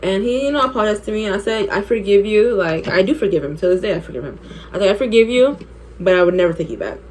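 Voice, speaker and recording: A woman's voice: mid-range voice, animated, fast talker, a little mellow, slight background noise, low-quality recording. A woman in her twenties telling a personal story while doing her makeup, chatty and candid, laughing often. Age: 20s. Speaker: beauty vlogger.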